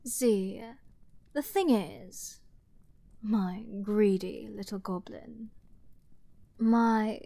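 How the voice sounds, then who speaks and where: A woman playing an arrogant, flirty and menacing princess in a dramatic fantasy ASMR roleplay, teasing and theatrical, with drawn-out words and dips toward a whisper. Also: medium pitch, gravelly, warm tone, no echo. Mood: condescending, threatening. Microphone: close studio mic, dry.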